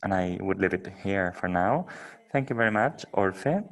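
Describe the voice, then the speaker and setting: Colombian guy moderating a panel, fairly low voice, interprets for the speakers, formal and measured. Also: animated, a bit bright, a little hiss or hum.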